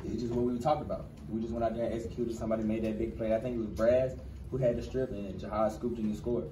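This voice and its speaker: Fast-talking young man at press mics. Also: fairly low pitch. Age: 20s. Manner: quick, repeats phrases, relaxed slang. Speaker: college football player. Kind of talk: post-game press conference answers. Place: crowded press room indoors. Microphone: cluster of handheld press mics. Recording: roomy distant press room with noise.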